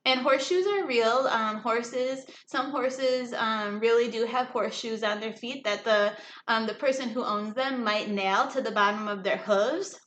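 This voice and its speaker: A woman teacher reading a story aloud to children, pausing to explain simply and check understanding with questions. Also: on the high side, fairly bright tone. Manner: instructive, checks understanding often. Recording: echoey room with faint noise.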